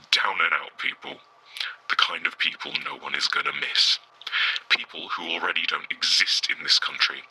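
Male informant, audio drama acted: very low, hard-edged voice, bitter and blunt, noisy ambience. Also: sharp tone. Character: bitter, down-and-out male insider sharing secrets.

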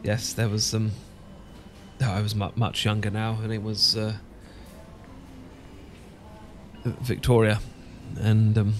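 A man's voice: lowish voice, little pitch movement, unhurried, soft background music, close to an everyday voice. A man with a British accent playing an awkward, sentimental English professor in a romantic ASMR roleplay, stammering and hesitant. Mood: wistful. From Britain. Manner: stammering, hesitant, full of false starts. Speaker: audio roleplay performer.

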